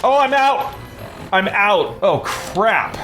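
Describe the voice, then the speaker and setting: A man's voice: high voice, big pitch swings, takes it slowly, music in the background. A man giving casual gameplay commentary, thinking aloud to himself with mild folksy exclamations. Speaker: let's play host, gamer.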